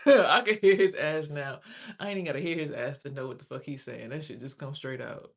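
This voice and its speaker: A woman's voice: low voice, moderate pace. A young woman, chatting loosely on a livestream, rambling about spiritual topics and swearing freely. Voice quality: raspy. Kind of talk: casual livestream chat.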